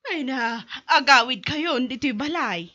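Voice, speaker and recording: A woman's voice: high-pitched, animated, unhurried, sharp tone, no room sound, exaggerated voice. A woman acting a concerned, caring mother in an Ilocano radio drama. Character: concerned mother urging her daughter to come home. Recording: muffled recording with music behind. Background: music.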